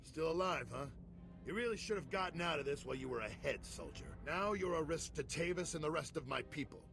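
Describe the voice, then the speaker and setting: Gravelly, world-weary veteran commander. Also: male voice, fairly high pitch, animated, a little fast. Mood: hostile, impatient. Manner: curt, sardonic, bitter. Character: gruff, world-weary male veteran commander, fiercely protective. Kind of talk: video game cutscene acting. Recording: music behind the voice.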